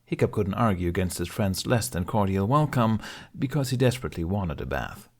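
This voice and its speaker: A man's voice: low-pitched, lively intonation, a little fast. A man reading scripted fantasy fiction as an audiobook narrator.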